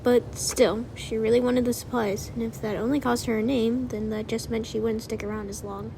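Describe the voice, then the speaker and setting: A woman's voice: a little high, flat delivery, normal speed, vocal fry, noisy background. A woman narrating a story from a script, as in an audiobook of fan fiction.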